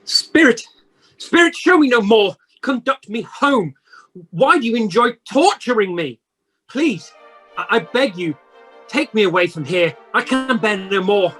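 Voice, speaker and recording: A man's voice: high voice, animated, a little slow, a bit bright, breathy edge, faint music behind, full character voice. A man playing a remorseful old miser, hesitant and pleading, in an amateur radio play. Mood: anguished pleading. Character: Ebenezer Scrooge, remorseful, anguished old miser.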